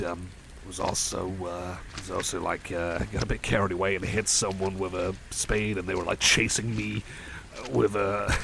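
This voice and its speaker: Shy cyborg pal played by a man for ASMR roleplay: low, gravelly, stammering and self-deprecating, over noticeable background noise. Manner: hesitant, stammering, self-deprecating, eager to please. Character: shy, rambling, friendly male cyborg companion. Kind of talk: ASMR roleplay, casual storytelling.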